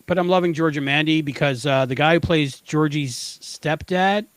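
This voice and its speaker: A middle-aged man co-hosting a podcast, chatting casually about pop culture in an animated, slangy, emphatic way. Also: on the high side, normal speed, clear, resonant tone, dry recording. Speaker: podcast co-host.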